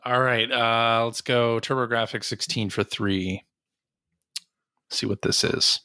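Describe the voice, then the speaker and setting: A man's voice: mid-range voice, fairly even intonation, slow pace, pushed and bright, clear, resonant tone, no room sound, studio quality. A man chatting casually as a podcast co-host in a quiz. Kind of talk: casual quiz-show chat.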